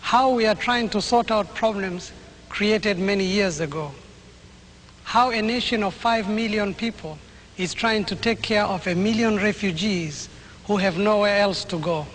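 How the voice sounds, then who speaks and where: A man narrating a documentary in an earnest, measured scripted delivery. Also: high voice, fairly bright tone, slight background noise.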